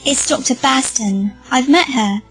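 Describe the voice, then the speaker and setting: A woman acting a high-voiced earnest little girl. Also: unhurried, fairly bright tone, husky, soft background music, exaggerated voice, low-quality recording. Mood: earnest, subdued. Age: child character. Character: earnest, accepting young girl. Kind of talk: audio drama acting.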